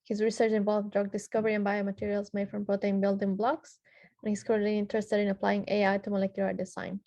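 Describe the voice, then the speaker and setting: Woman, from Ecuador, panel moderator, halting delivery, monotone, warm tone. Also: mid-range voice. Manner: hesitant, many filler sounds and restarts. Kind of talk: reading panelist introductions.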